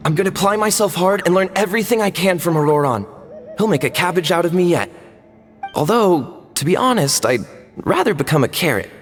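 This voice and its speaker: Earnest polite young game student, animated highish voice, music behind. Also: male voice, a little fast, long reverb tail. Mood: eager. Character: eager, modest young male spirit student. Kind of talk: English video game voice acting.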